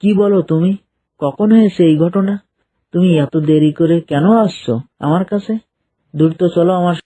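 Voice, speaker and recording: A man's voice: high-pitched, moderate pace, dark tone. A man voicing a stern, protective lion king in a children's cartoon. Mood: alarmed.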